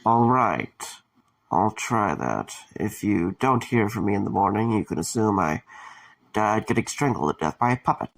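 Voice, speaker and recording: An actor playing a weary, sarcastic man under attack in an audio drama, talking in a dry, halting way. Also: fairly low pitch, lively intonation, gravelly, low-quality recording. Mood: dry sarcasm.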